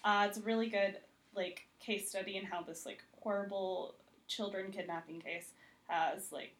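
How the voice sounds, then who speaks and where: A woman's voice: medium pitch, fairly even intonation, moderate pace. An American woman speaking as a TV critic guest, informal and conversational, often saying "like". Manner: informal, frequent "like". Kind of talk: casual interview chat.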